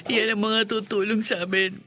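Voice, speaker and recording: A woman's voice: mid-range voice, little pitch movement, moderate pace, crowd noise, close to an everyday voice. A woman playing a distraught young wife turned vengeful widow in an emotional, tearful radio horror drama.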